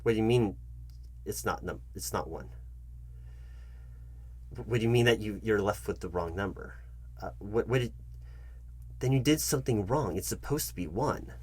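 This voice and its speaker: A man performing a comedic job interview roleplay, speaking straight to the listener in a fussy, halting, repetitive manner. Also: on the low side, darkish tone, husky. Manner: fussy, halting, repeats himself, addresses listener directly. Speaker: ASMR channel host acting as job interviewer.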